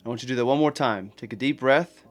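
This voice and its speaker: A man with a mid-pitched voice leads a guided meditation. He gives gentle instructions in plain, encouraging words and leaves unhurried pauses between phrases. Soft guitar music plays faintly behind him.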